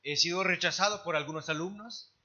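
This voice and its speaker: A man's voice: high voice, fast, sharp tone, dull audio. A man interpreting a sermon live, phrase by phrase, with occasional restarts. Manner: translating phrase by phrase, with some restarts. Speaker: church interpreter. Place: church service.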